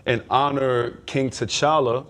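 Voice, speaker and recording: A man's voice: medium pitch, fairly even intonation, slow, a bit bright. Male panel contestant, mid-range voice, casual, conversational commentary with opinions. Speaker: game show contestant and commentator. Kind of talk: casual pop culture chat.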